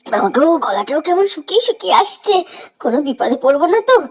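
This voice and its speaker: A woman's voice: sits high, very animated, fast talker. A woman playing a weary, complaining, superstitious village housewife in a horror cartoon, speaking plaintively in a rustic way over music. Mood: terrified, pleading.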